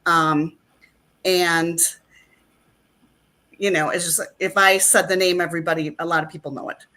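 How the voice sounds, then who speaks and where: A woman's voice: fairly low pitch, fairly even intonation, moderate pace, pushed and bright. A middle-aged woman chatting as an interview guest, rambling with plenty of fillers. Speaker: actress and guest. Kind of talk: casual interview chat.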